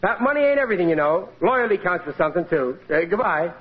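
A man's voice: high-pitched, sing-song, fast talker. Male, American, old radio sitcom dope of a husband, hesitant and hammy, on a noisy muffled broadcast recording. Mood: smug. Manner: hesitant, stammering, exaggerated delivery. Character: bumbling, sly working-class married man and father. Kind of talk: 1940s-50s American radio sitcom acting.

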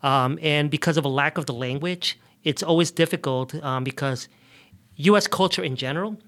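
A man from the United States speaking as an interview guest, explaining things earnestly in conversational, somewhat halting phrases. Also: fairly high pitch, a bit bright. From United States, grew up in New York and the South. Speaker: immigration justice advocate, interview guest.

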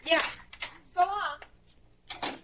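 High-voiced eager schoolboy. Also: female voice, drawn-out words, noisy background, exaggerated voice. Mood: excited. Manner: gushing, hesitant, boyish. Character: eager, slangy young schoolboy. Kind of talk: 1940s radio acting. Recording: muffled old radio recording.

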